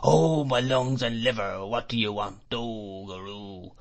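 A man voicing a fierce old drunken shopkeeper from a storybook, with a rattling throat and a sing-song whine. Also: fairly low pitch. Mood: fierce, demanding. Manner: monotonous sing-song whine, rising then falling, drawn-out exclamations.

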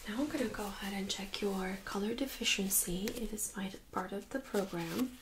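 A young woman speaks in a soft, breathy, whispery voice, gently and politely giving step-by-step instructions in an ASMR medical role-play. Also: medium pitch, moderate pace, background noise. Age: young adult. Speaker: ASMR performer playing a medical examiner. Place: small indoor studio room.

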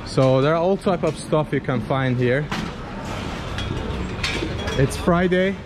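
Guy from azerbaijan vlogging on his phone, walking a loud market street, simple english, kinda high voice. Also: slow pace. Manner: chatty, simple non-native English, asks passersby directions. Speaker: travel vlogger. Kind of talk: casual walking vlog. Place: busy outdoor street market. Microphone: handheld camera at arm's length. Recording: loud street noise outdoors. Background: traffic and market bustle.

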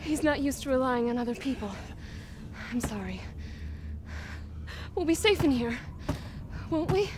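A woman's voice: high voice, lively intonation, measured pace, pauses often, background noise. An actress playing a frightened young village woman, anxious and pleading in her delivery. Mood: worried. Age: young adult.

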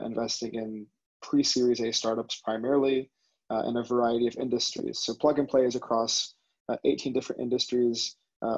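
A man's voice: medium pitch, darkish tone. A young man with an American accent, introducing himself as a venture capital director in a fluent, businesslike way on a video call. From United States. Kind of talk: panel self-introduction. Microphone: headset on video call. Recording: husky, dull video call audio.

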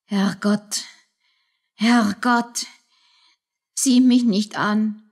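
A woman's voice: mid-range voice, fairly even intonation, drawn-out words. A woman playing a guilt-stricken, desperate young mother in a radio play, solemn and anguished in her delivery. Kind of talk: German radio play acting.

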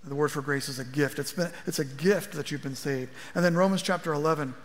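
A middle-aged male pastor preaching in a chatty, wry way, his voice a touch higher than most men's. He talks into a headset mic on a big church stage, and a long reverb trails behind his words. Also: a little fast. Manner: conversational preaching with wry asides, gesturing at a lectern. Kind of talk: sermon. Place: large church auditorium stage.

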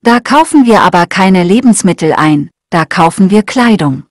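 A woman reading short learner texts aloud in a slow, clear, scripted way. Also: mid-range voice, lively intonation, dry recording, clean studio sound. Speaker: German lesson reader.

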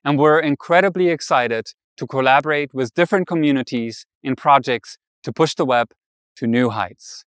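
A man's voice: mid-range voice, normal speed, clear, resonant tone, no echo, studio quality. A young man gives a scripted tech keynote outdoors in a meadow, speaking into a lapel mic in an upbeat, polished way. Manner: upbeat, polished announcer delivery. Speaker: presenter.

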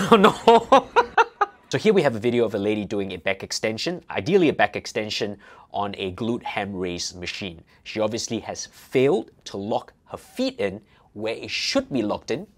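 A middle-aged man hosting a casual reaction video, explaining and giving coaching advice in an indoor office. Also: lowish voice. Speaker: host, fitness coach.

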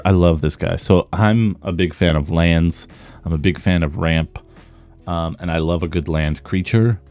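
A man with a slightly rough voice, worn from talking, giving casual commentary as he opens trading cards. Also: low-pitched, darkish tone. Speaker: trading card content creator. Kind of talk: casual card pack opening commentary.

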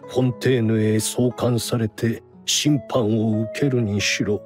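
A man voicing a weary, dignified older scholar in a game, speaking formally in a measured, resigned way. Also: fairly low pitch, fairly even intonation, darkish tone, soft background music, natural voice. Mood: earnest, solemn. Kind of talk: Japanese game dub dialogue.

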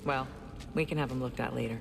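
A warrior mother for a video game. Her register is very low for a woman, firm and composed, with clear ambience behind her. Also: fairly even intonation, noisy background. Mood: brisk, motherly. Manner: firm, measured. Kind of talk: dramatic game cutscene acting.

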